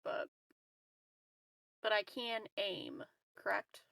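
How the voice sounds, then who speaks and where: A woman playing a tabletop game, playful, with quick, short replies. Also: medium pitch, vocal fry, bright, forceful tone, no echo, far from the mic. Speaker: player. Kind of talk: casual tabletop chat.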